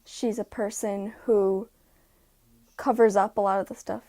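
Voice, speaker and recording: A woman with a soft-toned, mellow voice of average pitch chats in an interview as an actor. Her manner is informal, with loosely hedged, conversational phrases. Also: fairly even intonation, unhurried. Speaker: audiobook voice actor. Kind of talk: documentary interview.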